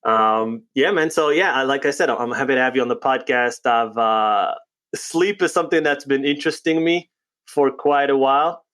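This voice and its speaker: Host: easygoing man, mid-pitch, chatty and upbeat, asks friendly questions. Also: moderate pace, a bit bright. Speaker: podcast host. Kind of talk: casual podcast interview.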